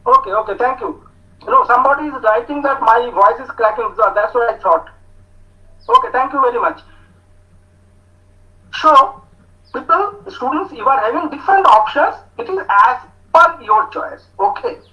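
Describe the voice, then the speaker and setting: A man with an Indian English accent giving an online talk to students, speaking slowly with many hesitations as he reads out their answers. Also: extremely high pitch, expressive, sharp tone. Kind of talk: online lecture with student chat. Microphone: video call. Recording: muffled video call audio.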